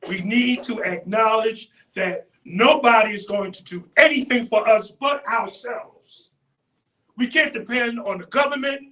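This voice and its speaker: A man, speaking as a panelist in a loud, preacherly way and repeating phrases for emphasis, picked up from a distance. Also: very high-pitched, unhurried. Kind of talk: impassioned community panel speech.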